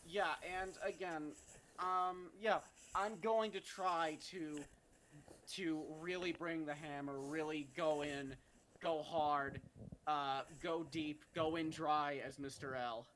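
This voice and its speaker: A man's voice: on the high side, steady tone, slow pace, fairly bright tone. A man chatting casually and bluntly after a group voice-acting session, swearing freely. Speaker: amateur voice actor. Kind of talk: casual post-show chat.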